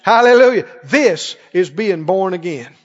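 Male preacher, high-pitched voice, emphatic Bible teacher firing short questions at the congregation; long church reverb. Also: animated, a little slow. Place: large church hall, before a congregation. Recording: muffled, echoing large hall.

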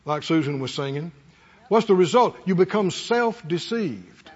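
A man's voice: high voice, expressive, a little slow, slightly rough. A middle-aged or older man preaches in a folksy, conversational way, telling homely stories and putting rhetorical questions to his congregation. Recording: muffled hall sound with long reverb.

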